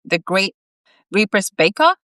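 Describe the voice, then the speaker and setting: A woman voicing a bossy, excitable male cat boss in a storybook, proclaiming theatrically. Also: mid-range voice, a little slow, no echo, studio quality, voice pushed up. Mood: grandiose.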